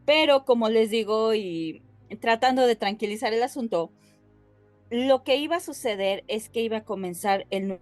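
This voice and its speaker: A woman with a Mexican Spanish accent co-hosting a podcast, explaining things casually and haltingly as she addresses her listeners. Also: mid-range voice. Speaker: podcast co-host.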